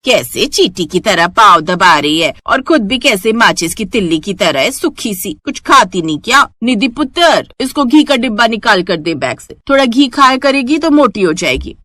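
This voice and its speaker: A woman voicing an older, bossy, melodramatic mother-in-law who nags and scolds in an animated story. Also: mid-range voice, normal speed, few pauses, no echo. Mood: bossy, scolding.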